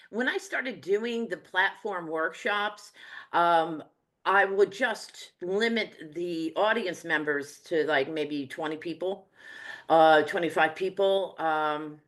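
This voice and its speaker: A woman with a New Jersey accent and a notably low voice. She speaks as an interview guest, relaxed and rambling, with plenty of fillers. She is heard over a video call. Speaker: medium and teacher. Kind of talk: casual interview chat.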